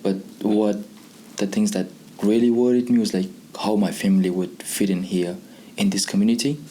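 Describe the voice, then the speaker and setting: A man's voice: fairly low pitch, fairly even intonation, moderate pace, mellow, a little hiss or hum, rough recording. A man in his twenties from Pakistan, a non-native English speaker, telling his own story casually. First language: not English. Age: 20s. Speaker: youth programme participant. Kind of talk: casual personal testimony.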